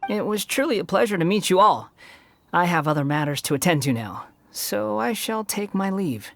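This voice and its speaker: Courteous, composed fantasy game traveler. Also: female voice, on the low side, animated, normal speed, creaky, no room sound. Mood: courteous, detached. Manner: formal, courteous. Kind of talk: video game voice acting.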